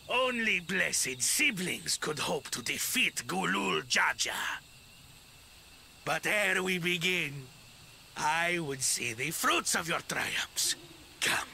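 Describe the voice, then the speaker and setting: A man's voice: a little high, very animated, slow pace, vocal fry, sharp tone, a little hiss or hum, heavily acted. An actor playing a domineering, scornful father in a video game, with archaic, theatrical speech that is grandiose and cruel. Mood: silky, commanding. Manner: archaic, theatrical speech swinging from silky to raging.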